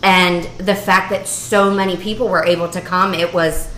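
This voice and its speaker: A woman's voice: on the low side, steady tone, normal speed, bright, forceful tone, slight background noise, low-quality recording. A woman co-hosting a casual podcast, joking and teasing her partner as they chat. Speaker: podcast co-host.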